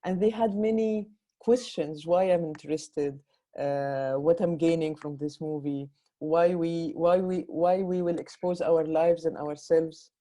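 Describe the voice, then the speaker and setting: A woman filmmaker speaks English with a Sudanese, Egypt-tinged Arabic accent. Her voice sits low and sounds very dark and mellow, and she talks fast, breaking off and restarting her sentences. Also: fairly even intonation. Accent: Egyptian-influenced Sudanese Arabic. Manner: fast, halting speech with restarts. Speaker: film director. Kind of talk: festival Q&A conversation.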